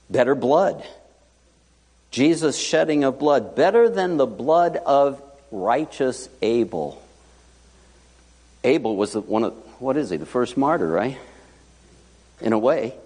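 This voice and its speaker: A male pastor preaching a sermon in a church, animated and joking, with sudden loud and hushed asides. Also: mid-range voice, husky. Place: church sanctuary. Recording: long hall reverb.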